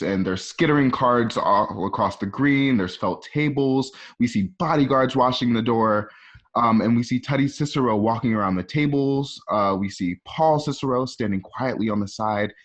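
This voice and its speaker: A man reading out stage directions as the narrator of a radio play, in present-tense screenplay style. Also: mid-range voice. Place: at home. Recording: slightly dull home recording.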